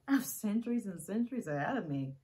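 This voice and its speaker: A woman performing a dramatic monologue as an earnest, ageless young woman making an intimate, halting confession to her lover. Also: lowish voice, dark tone, lightly acted. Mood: pleading, insistent.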